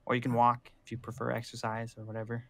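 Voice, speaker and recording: A man's voice: mid-range voice, monotone, fast talker, vocal fry, fairly bright tone, a little hiss or hum. A man bantering casually while improvising roleplay in an online game. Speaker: roleplayer in a Minecraft zombie series.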